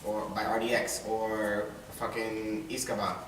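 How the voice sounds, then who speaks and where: A man's voice: fairly low pitch, monotone, unhurried, fairly bright tone. A young man, a student, talking animatedly and emphatically in a casual group discussion. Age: young adult. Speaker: student panelist. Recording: echoey room, mic far off, background noise.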